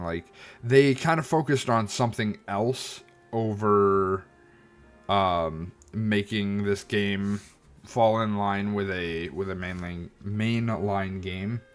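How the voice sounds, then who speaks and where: A man talks over his game stream in a slow, low voice, stopping often to find his words. His tone is a little stuffy and nasal, and he comes across as reflective as he picks the game apart. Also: clear, resonant tone, faint music behind. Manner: thoughtful, hesitant, many ums and restarts. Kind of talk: casual gameplay commentary.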